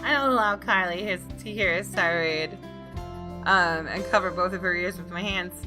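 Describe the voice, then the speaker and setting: A woman's voice: on the low side, moderate pace. Woman gaming host over music. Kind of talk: visual novel read-aloud narration and casual commentary. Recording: music behind the voice.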